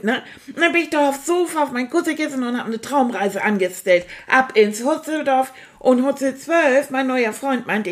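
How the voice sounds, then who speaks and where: A woman's voice: high-pitched, close to an everyday voice. A woman voicing a boastful, chatty male stuffed toy rat who tells a children's story.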